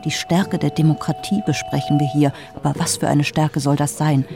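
An actor playing a sardonic, challenging political orator with a rhetorical, provocative delivery. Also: male voice, on the high side, flat delivery, moderate pace, gravelly. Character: sardonic, challenging orator on democracy under occupation. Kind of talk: dramatized political speech.

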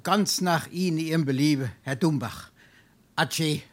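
A man's voice: on the high side, moderate pace, lightly acted. A man playing a wily, shameless scrounger in a Darmstadt dialect stage comedy, pompous and full of flowery courtesy. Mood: wounded dignity. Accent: Darmstadt Hessian. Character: wily, shameless male scrounger and bon vivant.

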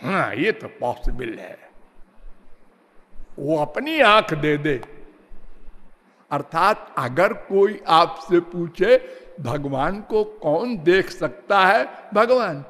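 A man delivers a devotional discourse at a very slow, deliberate pace, stretching words and sometimes lapsing into a sing-song chant. His voice sits fairly high for a man. The sound carries a long reverb, as if from a large hall. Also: sing-song, a bit bright. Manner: slow measured preaching, drawn-out words, half-sung phrases. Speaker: spiritual teacher.

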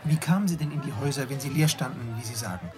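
An actor playing an earnest, polite young English solicitor who speaks precisely in a radio drama. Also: male voice, medium pitch, fast talker, music in the background, close to an everyday voice. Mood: polite, probing. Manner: courteous, probing, precise. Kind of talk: German radio drama acting.

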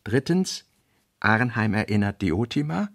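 A man narrating literary notes for a radio play in a deliberate, fragmentary way, weighting key words. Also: fairly low pitch, sing-song, dry recording, clean studio sound. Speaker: narrator reading a novelist's working notes. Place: dry studio.

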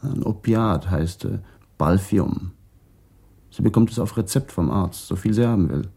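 A man's voice: sits low, measured pace, creaky, warm tone, breathy edge, exaggerated voice. An actor playing a matter-of-fact male house resident answering police questions in a radio crime drama, plain and informative. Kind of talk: 1980s German radio crime drama dialogue.

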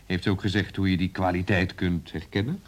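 A man's voice: deep voice, steady tone, fairly quick, lightly acted. An actor playing a sardonic, sceptical man in a radio drama, talking in a mocking, teasing way. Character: sardonic, sceptical man needling his lover.

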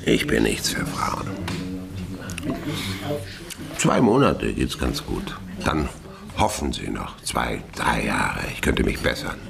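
Radio play casting: gruff older police inspector, very deep, creaky, world-weary, drawn-out laconic lines. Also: male voice, big pitch swings, crowd noise, exaggerated voice. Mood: weary, grumbling. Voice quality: gravelly. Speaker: radio drama actor. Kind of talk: German radio crime drama dialogue.

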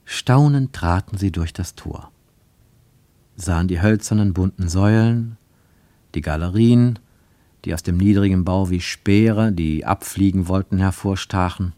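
A man narrating a radio play in a measured, literary storytelling manner. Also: sits low, mellow, no room sound.

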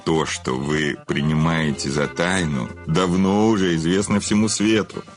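An actor playing a famous crime novelist in an audio drama, an urbane, cynical self-confessed murderer who talks grandly, with ironic hesitations. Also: male voice, rumbling low, fairly even intonation, measured pace, soft background music. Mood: proud, cynical. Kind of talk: theatrical audio drama dialogue.

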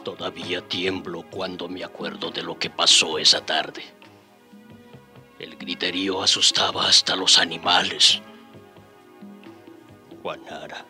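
A man's voice: low-pitched, pushed and bright, exaggerated voice. A man playing a grieving, haunted survivor who narrates sorrowfully and despairs bitterly in a radio drama. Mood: haunted grief. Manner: slow, sorrowful storytelling breaking into anguished outcry. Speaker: narrator and character actor. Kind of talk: radio drama first-person narration and acting. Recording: radio drama with background music.

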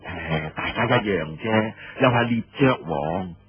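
A man's voice: deep voice, measured pace, a crowd in the background, voice pushed down. A man voicing a nervous, deferential surrendered officer in an audiobook story, reporting to a commander with hesitant, obsequious care. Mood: careful reporting. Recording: muffled lo-fi roomy radio-like recording.

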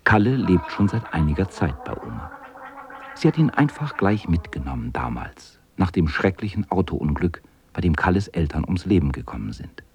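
A man narrating a children's radio play as a calm storyteller with a husky voice. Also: sits low, normal speed, a little hiss or hum. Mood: storytelling.

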